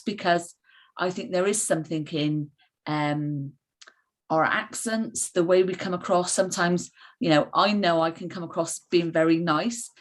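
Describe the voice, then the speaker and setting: Woman, low voice, session participant, thoughtful, restarts. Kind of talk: group discussion.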